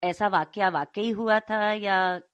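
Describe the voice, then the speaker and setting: A woman's voice: mid-range voice, steady tone, slow pace, clear, resonant tone, no room sound, highs cut off. A woman narrator and co-host who speaks courteously and tells stories expressively.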